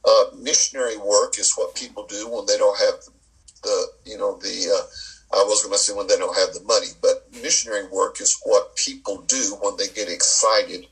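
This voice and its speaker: Man, sixties, missionary guest, low gravelly voice, folksy, repeats phrases. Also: steady tone, normal speed, rough recording. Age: 60s. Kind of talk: casual interview talk.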